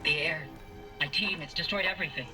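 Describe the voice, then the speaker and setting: A woman acts out a weary but determined scientist in a video game drama. She explains things with grim urgency. Music plays clearly behind her voice. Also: sits low, a little fast, natural voice, poor audio. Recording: music under the voice.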